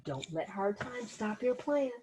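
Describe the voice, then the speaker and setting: A woman reading a children's picture book aloud as host, in an expressive storytelling manner with little asides to young listeners. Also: mid-range voice, measured pace, a little hiss or hum.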